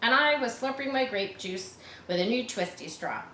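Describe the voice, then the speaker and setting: Animated woman reading a children's book aloud. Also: a little high, normal speed, reverberant, slight background noise, poor audio. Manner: animated, with chatty asides to young listeners. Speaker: reader.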